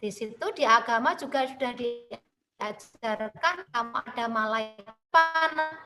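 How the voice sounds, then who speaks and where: A woman's voice: a little high, music in the background. A woman teacher with a Javanese accent, patiently explaining a lesson to young children over a video call. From Central Java, Indonesia. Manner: slow, patient, addresses children with tag questions. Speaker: primary school teacher. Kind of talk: online class lesson.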